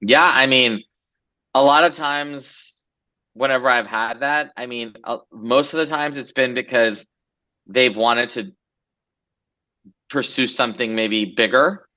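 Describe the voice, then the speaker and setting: A man in his forties from Los Angeles talks through his story as an interview guest, looping and relaxed with plenty of fillers. His voice is bright and forceful at a middling pitch. The recording is somewhat dull and lo-fi. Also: unhurried, pauses often. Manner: relaxed, rambling, many fillers. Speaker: guest entrepreneur. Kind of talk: casual interview chat.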